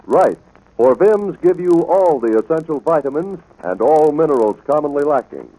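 Mellow, lively 1940s radio pitchman. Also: moderate pace, poor audio. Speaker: commercial announcer. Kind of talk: scripted 1940s radio advertising.

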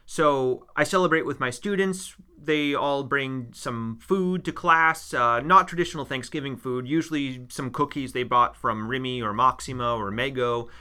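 A man's voice: mid-range voice, normal speed, hardly any pauses, pushed and bright, clean tone. A man from the United States, co-hosting a casual podcast and chatting in a rambling way with fillers and restarts. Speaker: podcast co-host, history teacher.

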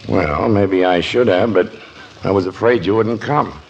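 An actor playing an anxious, deferential police chief in 1940s radio comedy, hesitant and pleading in manner. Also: male voice, deep voice, unhurried, creaky. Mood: sheepish. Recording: muffled, noisy 1940s radio broadcast.

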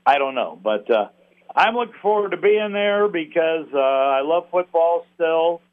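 A man on a thin phone line chatting casually about sports as a guest announcer, in a folksy, rambling, halting way that repeats words. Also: a little high, expressive. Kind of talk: casual sports analysis chat. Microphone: phone call.

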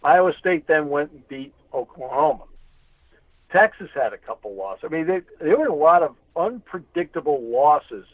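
A man's voice: fairly high pitch, very animated, moderate pace, no echo. Man analyzing sports on a phone-like line. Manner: emphatic, repeats phrases for emphasis. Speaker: radio play-by-play sports announcer, interview guest. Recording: tinny narrow phone-like line.

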